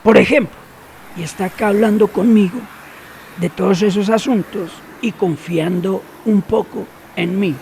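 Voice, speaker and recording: An actor playing a secretive, cynical older man in a radio drama, who talks slowly and knowingly and drops to near whispers. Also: sits high, creaky, a little mellow, a little hiss or hum. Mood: sly.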